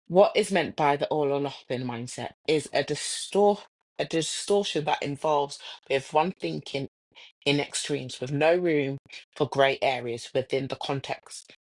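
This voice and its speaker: A woman's voice: low-pitched, rough recording. A young woman hosting a solo podcast, chatting in a bubbly, self-correcting way while congested with a cold. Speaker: podcast host. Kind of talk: casual solo podcast chat.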